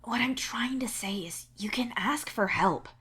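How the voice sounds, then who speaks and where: An exasperated young man voiced by a woman in an audio story, scolding in a hushed but forceful way. He is sweary and irritable, with obvious care underneath. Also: a little high, a little slow, husky, voice pushed up, heavily acted. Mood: scolding concern.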